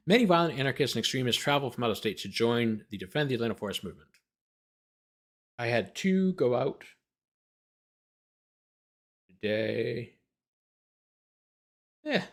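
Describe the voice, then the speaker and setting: A man who hosts a livestream as a lawyer, reading a document aloud and breaking off into sarcastic, exasperated, argumentative commentary. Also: mid-range voice.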